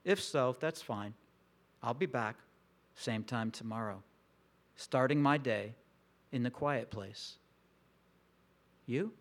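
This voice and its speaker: A man preaching a sermon in a slow, reflective, intimate way with long pauses. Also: medium pitch. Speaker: pastor.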